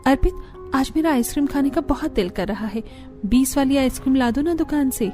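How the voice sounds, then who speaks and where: A woman's voice: sits high, a little fast, dark tone, soft background music, natural voice. A woman voicing a showy, self-satisfied young daughter-in-law in a family cartoon. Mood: coaxing.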